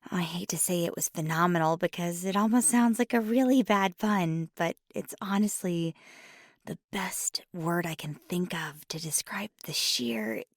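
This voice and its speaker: Woman acting a nervous, low-voiced female paranormal investigator. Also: unhurried, dry recording, studio quality. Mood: tired, sheepish. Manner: hesitant, stammering, rambling. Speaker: ASMR roleplay voice actor. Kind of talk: ASMR roleplay, intimate one-sided dialogue.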